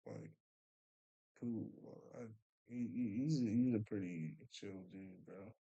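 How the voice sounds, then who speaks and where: Chill young guy kinda low voice, rambling story, bro every other line. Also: takes it slowly, gravelly, dull audio. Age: young adult. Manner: laid-back, says "bro" and "you know" constantly; often trails off quietly, mumbling. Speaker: vlogger.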